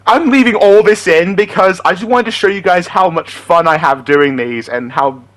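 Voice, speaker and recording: A man's voice: sits high, moderate pace, a bit bright, poor audio. A chatty, enthusiastic, giggly man talking casually as an amateur voice impressionist introducing his character impressions. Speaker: amateur voice impressionist and aspiring voice actor.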